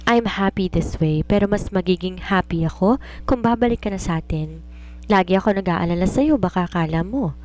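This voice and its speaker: A woman's voice: fairly low pitch, a little fast, darkish tone, lightly acted. A woman voicing a doting, nagging widowed mother and businesswoman, mixing Tagalog and English in an affectionate scolding way. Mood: worried, fond. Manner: Taglish, scolding yet affectionate.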